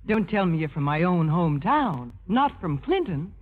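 An actress playing a warm, teasing female wartime entertainer who coaxes with playful patter in a 1950s radio drama. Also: fairly low pitch, very animated, normal speed. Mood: gentle, teasing. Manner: playful, encouraging stage patter with questions. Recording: tinny, muffled 1950s radio recording.

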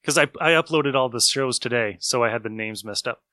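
A man's voice: mid-range voice, a little fast, bright, forceful tone, no echo. A man hosting a podcast, introducing the show in a casual style. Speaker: podcast host.

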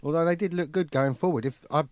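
A man's voice: on the high side, fast talker, dry recording. Man in his fifties with dry humour. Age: 50s. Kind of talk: casual sports radio chat.

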